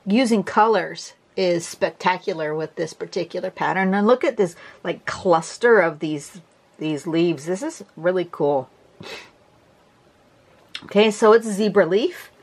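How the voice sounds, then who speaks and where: Brief: woman teacher, lowish voice, stuffy, chatty and rambling. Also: measured pace. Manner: chatty, restarts a lot, clears her throat. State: congested. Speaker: drawing teacher. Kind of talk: casual tutorial narration. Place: home desk, camera over the drawing surface.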